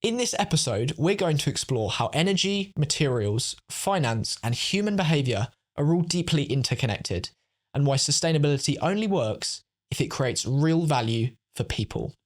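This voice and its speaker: A man's voice: a little high, sing-song, normal speed. A man hosting an interview podcast, giving prepared introductions and questions. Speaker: podcast host.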